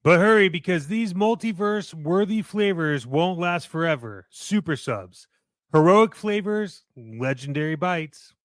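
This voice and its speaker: A man's voice: sits high, animated, unhurried, no echo. A man playing an upbeat commercial announcer, reading a scripted radio ad with salesy emphasis. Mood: excited, salesy.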